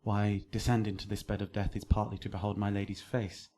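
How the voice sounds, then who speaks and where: Tragic young lover, male actor, classical radio play: lowish voice, intense, commanding, desperate. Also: level tone, fairly quick, mellow, lightly acted. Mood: resolute. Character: passionate, desperate young nobleman in love. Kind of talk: Shakespearean radio drama acting.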